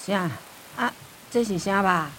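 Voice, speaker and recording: An actress playing an elderly, forgetful, stubborn grandmother in a radio drama, with a Taiwanese accent and rambling, drawn-out speech. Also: medium pitch, noisy background, natural voice. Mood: agitated, insistent. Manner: rambling, drawn-out sentence-end particles.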